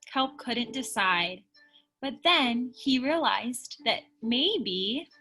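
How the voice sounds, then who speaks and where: A woman reading a children's picture book aloud in an expressive storytelling style. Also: on the high side, very slow, rough recording. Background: music behind the voice.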